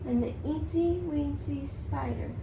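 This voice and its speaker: Female preschool teacher, read-aloud storyteller: high, slightly creaky, sing-song, recorded at a distance in an echoey space. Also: measured pace. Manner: sing-song, expressive, rhythmic reading. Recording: distant mic, roomy echo, faint noise.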